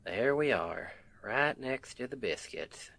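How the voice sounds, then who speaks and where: A man's voice: medium pitch, lively intonation, creaky, exaggerated voice. A man playing a doting country husband with a Southern accent in an intimate ASMR roleplay, folksy and affectionate. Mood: warm, contented. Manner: affectionate, unhurried, folksy, gently teasing.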